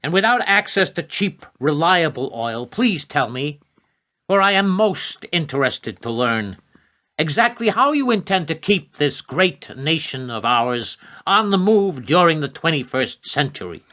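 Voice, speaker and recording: An actor playing a grim, hawkish Secretary of Defense in a radio play, formal, pointed and coolly menacing. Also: male voice, a little high, very animated, measured pace, pushed and bright, heavily acted. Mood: sardonic, challenging.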